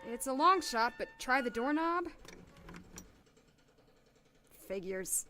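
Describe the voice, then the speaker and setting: Woman acting perky high-voiced young doctor, very animated. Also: normal speed, soft background music. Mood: worried. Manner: perky, jokey, nervous rambling. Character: chatty, upbeat young woman doctor new in town. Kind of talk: audio drama acting.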